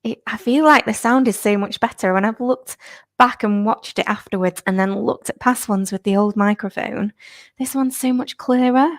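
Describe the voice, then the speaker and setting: A woman, a fitness instructor, chatting upbeat and slightly croaky on a live stream, laughing often. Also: a little high, moderate pace, no room sound, studio quality. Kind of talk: live-stream chat with viewers. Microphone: new clip-on microphone.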